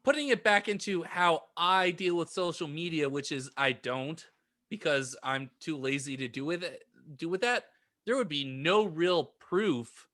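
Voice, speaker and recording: A man co-hosting a podcast in casual group chat, talking in a halting, rambling way. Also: a little high, fairly bright tone. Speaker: podcast co-host.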